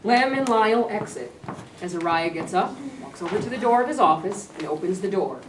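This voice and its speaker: A woman's voice: mid-range voice, lively intonation, a little fast. A woman reading stage directions as scripted narration at a staged play reading. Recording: distant echoey room, faint noise.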